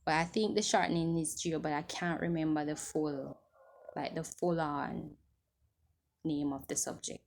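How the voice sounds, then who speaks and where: A woman's voice: low-pitched, steady tone, measured pace, vocal fry, a little hiss or hum. A woman in her twenties chatting casually to camera as she answers viewer questions, rambling and restarting as she loses her train of thought. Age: 20s.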